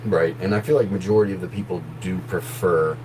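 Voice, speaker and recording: A man's voice: low voice, fairly even intonation, brisk. A man who narrates horror stories and does voice acting, chatting casually and rambling on a live stream, laughing easily. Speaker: horror story narrator and voice actor. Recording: noisy background hum.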